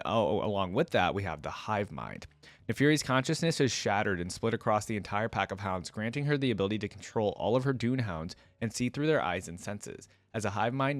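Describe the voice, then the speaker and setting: Fast-talking man, dry close sound. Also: medium pitch, no echo. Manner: casual, conversational asides. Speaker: reaction video host. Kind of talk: reading lore aloud with casual commentary.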